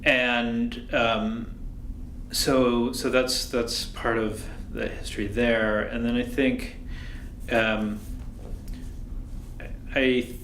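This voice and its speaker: A man, a writer and translator, reflecting slowly in an oral history interview, thinking aloud with many pauses and restarts. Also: mid-range voice, level tone, a bit bright. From United States, Chilean parents. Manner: hesitant, many ums and restarts. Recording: echoey room with faint hiss.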